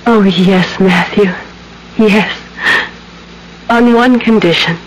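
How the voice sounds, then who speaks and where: Actress as a slow, tender romantic heroine. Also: female voice, medium pitch, lightly acted, low-quality recording. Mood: tender, earnest. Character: tender, earnest woman accepting her suitor's proposal. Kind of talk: 1970s radio drama acting. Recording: vintage radio broadcast, faint noise.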